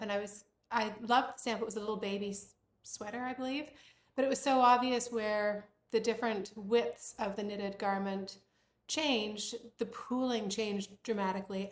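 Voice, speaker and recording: A woman in her forties or fifties from Bermuda, hosting a knitting podcast in casual chat, her voice a little croaky and her talk rambling with lots of fillers. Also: fairly high pitch, roomy sound. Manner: chatty, rambling with many fillers. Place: room at home with doors closed.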